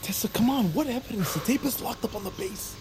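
An actress playing a shaken, skeptical doctor in an audio drama, hesitant and protesting. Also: female voice, fast, natural voice. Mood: doubtful. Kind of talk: audio fiction drama acting. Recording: noisy ambience, vehicle-like rumble.

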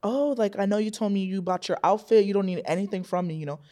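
A woman's voice: medium pitch, fast. A young adult woman, a podcast guest, telling a family story in casual chat and acting out the voices of others. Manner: animated storyteller, acts out quoted voices.

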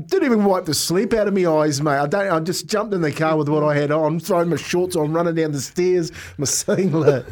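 A middle-aged man with a New Zealand accent telling stories in casual radio banter, animated and often chuckling as he talks. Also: a little high. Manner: animated, jokey storyteller who often chuckles while talking. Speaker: radio show host.

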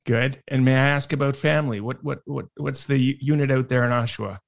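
A man's voice: mid-range voice, steady tone, fairly quick, gravelly. A middle-aged man, politely conducting a conversational interview as host. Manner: polite, courteous.

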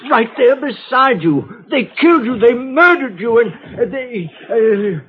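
An actor playing a fussy, melodramatic eccentric gentleman in a 1950s radio drama, formal and prone to stammering and overwrought outbursts. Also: very high voice, expressive, a little slow, slightly rough, exaggerated voice. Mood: flustered. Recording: noisy, dull old radio recording.

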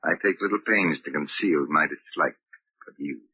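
A man's voice: low-pitched, lively intonation, moderate pace, vocal fry, husky, no echo, exaggerated voice. An actor playing a bitter old father-in-law in a 1940s radio drama, condescending and coldly polite. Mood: coldly disdainful. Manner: mock-courteous, condescending. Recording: muffled narrow-band old radio recording.

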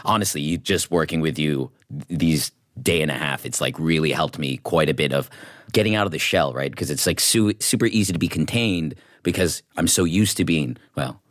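A man's voice: rumbling low, moderate pace, fairly bright tone, no echo, studio quality. A man talking informally and enthusiastically as a guest in a casual panel chat, often acting out his own thoughts. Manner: informal, enthusiastic, self-quoting. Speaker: guest, voice actor with animation training.

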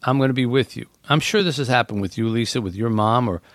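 A middle-aged man speaks with earnest warmth of thought, pausing on "you know" as he goes. His voice sits in an ordinary middle register. The recording is dry and close. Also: medium pitch, dry recording. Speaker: podcast guest. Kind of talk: reflective casual conversation.

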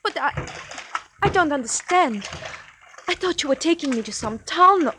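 An actress playing a young woman just rescued in an old radio drama, stammering in a breathy way. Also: sits high, animated, normal speed. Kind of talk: 1940s radio acting. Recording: old radio recording with hiss.

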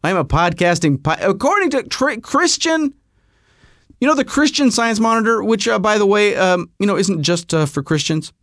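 A man with a fairly high voice tells a rambling comic story as podcast host, his pitch swooping up and down as he goes. He breaks into self-mocking asides. The sound is close and dry. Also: animated, normal speed, a bit bright, dry recording.

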